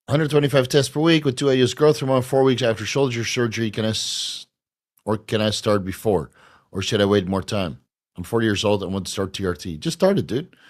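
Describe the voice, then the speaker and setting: A man hosting a casual question-and-answer show, blunt and profane, his voice going hoarse. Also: lowish voice. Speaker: podcast host answering viewer questions. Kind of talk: casual live Q&A.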